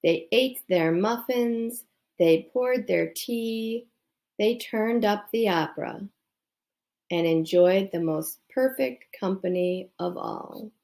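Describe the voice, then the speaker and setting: A woman reads a children's bedtime story aloud at a very unhurried pace. Her mid-pitched voice has a strong creak to it. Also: dark tone. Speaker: storybook reader.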